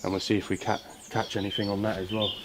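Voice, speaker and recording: Low-voiced man ghost hunting outdoors. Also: level tone, fast talker. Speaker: paranormal investigator hosting an exploration video. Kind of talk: casual on-location ghost-hunt commentary, calling out questions. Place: outdoors in a rural spot. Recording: noisy outdoor location sound. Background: birds and outdoor ambience.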